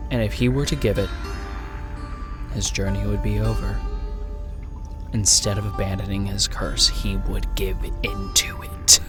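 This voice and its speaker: A man narrating a horror story in a solemn, suspenseful, dramatic way. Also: fairly low pitch. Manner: slow, solemn, suspenseful delivery. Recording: music behind the voice. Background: music.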